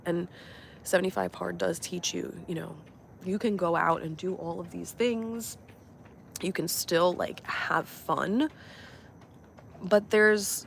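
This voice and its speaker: A young woman with a cold who sounds a bit nasal, chatting in a relaxed, reflective way on a motivational live stream while walking outdoors. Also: lowish voice, vocal fry, slight background noise. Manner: relaxed reflective chat with fillers. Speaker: vlogger and life coach. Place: outdoors on a walk.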